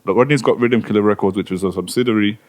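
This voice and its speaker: A man co-hosting a podcast, telling a story in casual chat full of slang. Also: fairly low pitch. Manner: fast, animated, slangy storytelling. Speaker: podcast co-host.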